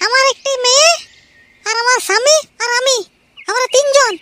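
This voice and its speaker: Scolding middle-aged housewife, squeaky pitched-up cartoon voice, rural West Bengal accent, noisy track. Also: male voice, fairly even intonation, normal speed. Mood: guarded. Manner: scolding, challenging. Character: shrill, hot-tempered middle-aged village housewife, quick to scold. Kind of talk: comic cartoon voice acting.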